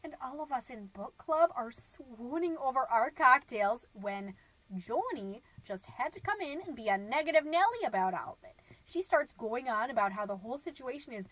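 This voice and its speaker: A woman's voice: sits high, lively intonation, moderate pace, a bit bright, no room sound, rough recording. A woman playing a middle-aged suburban stay-at-home mom, gossipy and naive, rambling through a comedic podcast monologue with folksy, dramatic emphasis. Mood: chatty, gossipy. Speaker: podcast host.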